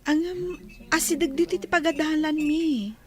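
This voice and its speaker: A woman's voice: high-pitched, steady tone, normal speed, darkish tone, close to an everyday voice. A woman with a Filipino accent playing a modest, kindly young student in a radio drama. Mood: shy, concerned.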